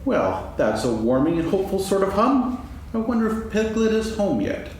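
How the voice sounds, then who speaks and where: Echoey, distant stage recording. Simple-hearted storybook bear played by a man, earnest and musing, highly animated delivery. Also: moderate pace, a little hiss or hum. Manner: earnest, musing, hums in singsong. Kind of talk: staged dramatic reading of a children's story. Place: stage before an audience, seated reading.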